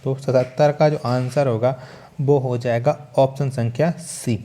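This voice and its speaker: A man's voice: medium pitch, fairly even intonation, measured pace, dark tone. A man teaching an exam-prep lesson, reading out questions and answers and repeating key points for emphasis in an instructional way.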